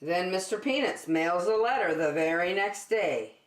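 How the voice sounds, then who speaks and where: A woman reading a picture book aloud to young children as a circle time teacher, expressive and speaking directly to her listeners. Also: fairly low pitch, moderate pace, reverberant. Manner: expressive, addresses young listeners with questions and prompts.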